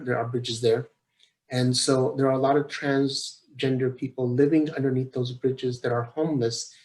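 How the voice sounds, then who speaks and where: A man with an American accent speaks in a medium-pitched voice. He is reflective and deliberate, talking conversationally as a webinar participant who shares experience and asks questions.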